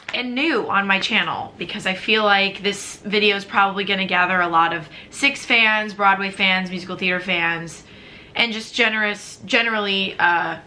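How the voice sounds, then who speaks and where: A woman's voice: medium pitch, pushed and bright, slight background noise. An American woman in her twenties from the Chicago suburbs, chatting casually to camera in a rambling, upbeat vlog with lots of fillers. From Chicago suburbs, USA. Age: 20s. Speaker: vlogger.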